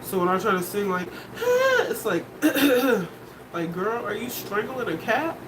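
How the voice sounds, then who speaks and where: A woman with a deep voice giving a casual tarot reading, blunt and candid, swearing and laughing between points. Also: sing-song, takes it slowly, distant mic, noisy background. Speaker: tarot reader.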